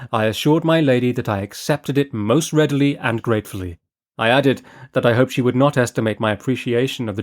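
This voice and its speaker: A man reading a Victorian short story aloud as an audiobook, with a measured, earnest, formal delivery. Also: mid-range voice. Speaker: audiobook reader.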